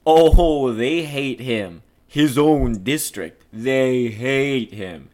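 A man's voice: mid-range voice, very slow, darkish tone. A man voicing an amorous, streetwise 1910s New York policeman with slangy, ungrammatical speech in a play reading. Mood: blustery. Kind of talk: play table read.

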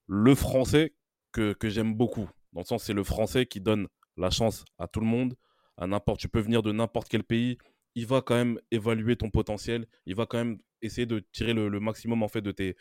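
Low-voiced man on a dry recording. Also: fairly even intonation, normal speed, darkish tone, clear, resonant tone, dry recording. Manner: builds points through emphatic repetition. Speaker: football podcast panelist. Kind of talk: admiring opinion in casual discussion.

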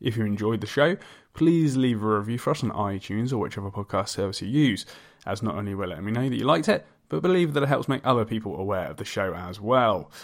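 A man's voice: fairly low pitch, animated, fast talker, hardly any pauses. A man hosting a casual interview podcast, speaking in an effusive, readily agreeing way.

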